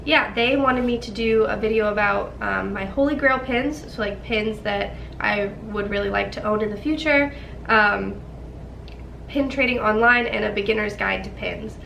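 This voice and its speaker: A woman's voice: medium pitch, moderate pace, creaky, a bit bright, echoey room, recorded from a distance, a little hiss or hum. A young woman with a stuffy nose from a cold, chatting casually to camera, with lots of ums and likes. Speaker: vlogger.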